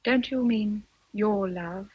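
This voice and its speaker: An actress with an English accent playing a passionate, independent young Victorian governess in a radio drama, earnest and emotional. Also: female voice, mid-range voice, slow pace, husky, no room sound, dull audio. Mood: firm, pained. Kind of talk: BBC radio drama acting.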